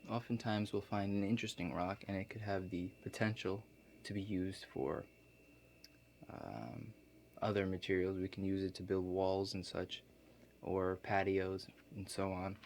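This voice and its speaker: A man playing a laid-back construction contractor in an ASMR role play, explaining things to a client in a halting, matter-of-fact way. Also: low-pitched, steady tone, a little mellow, slight background noise. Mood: casual, unhurried.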